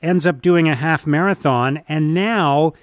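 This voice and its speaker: Male radio host, chatty and upbeat, slightly high voice, dry studio mic. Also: measured pace, dry recording. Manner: upbeat, chatty, talks in long run-on sentences. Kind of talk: conversational radio hosting.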